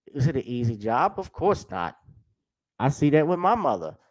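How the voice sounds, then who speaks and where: A man's voice: fairly high pitch, no echo. A middle-aged man hosting a casual livestream chat, talking in a conversational, opinionated way. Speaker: talk show host.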